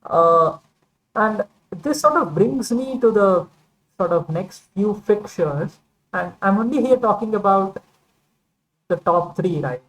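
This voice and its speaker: A woman's voice: mid-range voice, animated, slow pace, long pauses, rough recording. A woman talking football in a casual vlog monologue, rambling and hedging with many fillers and self-corrections. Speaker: football vlogger.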